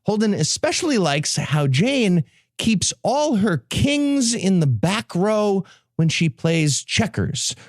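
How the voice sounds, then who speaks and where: A man's voice: sits high, sing-song, slow pace, no room sound, studio quality. A man hosting a comedy podcast, giving a sarcastic, profane recap to his co-hosts. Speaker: podcast host.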